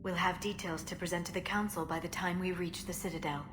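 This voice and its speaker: An actress playing a gentle, grave alien scientist in a video game, speaking in a measured, earnest, precise way. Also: female voice, on the low side, steady tone, noisy background, natural voice. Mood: grave, concerned. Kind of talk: video game cinematic acting.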